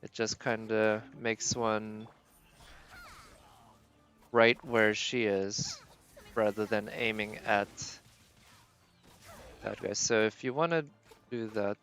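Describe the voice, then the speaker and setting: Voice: medium pitch, fairly even intonation, takes it slowly, long pauses, fairly bright tone, soft background music. A game livestream host giving casual live commentary, thinking aloud in a tentative, musing way.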